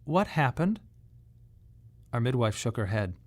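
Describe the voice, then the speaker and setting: A man delivering scripted dramatic narration in a radio monodrama, reflective and measured, with emphatic pauses. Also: medium pitch, sing-song. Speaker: playwright performing his autobiographical monologue.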